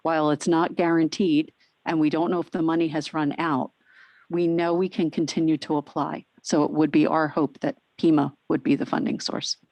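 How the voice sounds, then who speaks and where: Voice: mid-range voice, level tone, moderate pace, a little mellow, no echo, low-quality recording. Matter-of-fact district administrator. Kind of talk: formal meeting answer.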